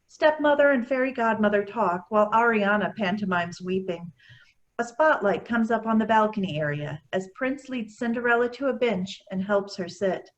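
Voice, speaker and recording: A woman's voice: mid-range voice, moderate pace, dull audio. A woman reading stage directions as scripted narration for a play.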